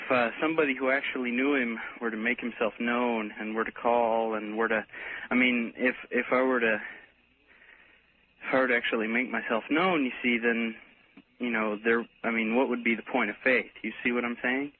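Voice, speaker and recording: A man calling a radio show, speaking in a halting, apologetic way. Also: mid-range voice, little pitch movement. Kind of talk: casual phone-in conversation. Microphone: telephone. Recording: tinny noisy phone line.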